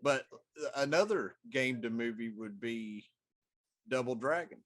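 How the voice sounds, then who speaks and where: A man's voice: mid-range voice, measured pace. A middle-aged man chatting casually as a co-host on a talk show. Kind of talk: casual chat.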